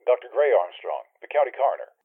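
A man's voice: medium pitch, sing-song, fast talker. A man acting a grave, formal county coroner through a telephone filter in a 1940s-style radio drama. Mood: formal, dry. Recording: muffled, husky telephone line.

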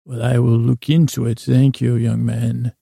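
Man putting on a pompous, gravelly hotel concierge. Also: medium pitch, flat delivery, a little slow, warm tone, dry recording, clean studio sound. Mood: smug, courteous. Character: grandly self-assured male hotel concierge, courteous and boastful.

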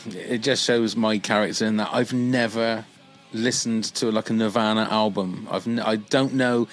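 A man hosting a casual chat, joking at his own expense and laughing easily. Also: on the low side. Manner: joking, self-deprecating, laughs often. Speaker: podcast host.

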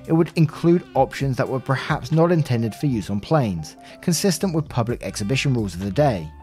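Man, podcast narrator, mid-pitched, mellow tone, expressive read-aloud storytelling, faint music underneath. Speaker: podcast host reading out online posts. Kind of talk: reading a written story aloud with brief asides.

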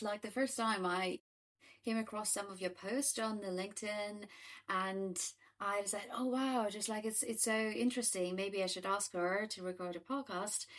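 A woman's voice: mid-range voice, rough recording. A woman hosting a podcast interview, chatting casually with fillers and restarts. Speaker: podcast host.

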